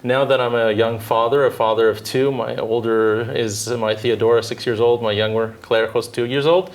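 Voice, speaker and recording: A man in his thirties from Southern California, a dentist answering interview questions in a measured, thoughtful way with frequent filler pauses. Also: medium pitch, fairly even intonation. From Southern California, United States. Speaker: dentist and orthodontist guest.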